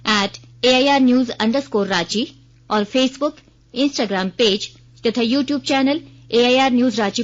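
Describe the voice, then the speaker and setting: A woman reading a scripted radio news bulletin in a formal, measured way. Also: a little high, fairly bright tone, dull audio.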